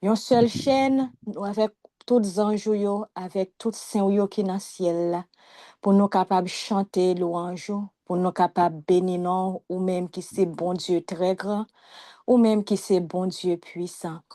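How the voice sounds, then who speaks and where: A woman's voice: fairly low pitch, fairly even intonation, a little slow, warm tone, no room sound, clean studio sound. A woman with a Haitian accent praying spontaneously and earnestly, addressing God. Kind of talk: spontaneous devotional prayer.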